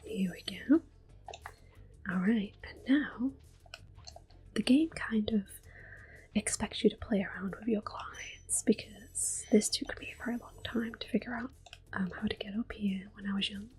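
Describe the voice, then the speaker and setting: A woman giving hushed, gentle, soft-spoken ASMR commentary as she plays a video game. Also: mid-range voice, a little slow, rough recording. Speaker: ASMR gaming host. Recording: soft music in the background. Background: quiet game music.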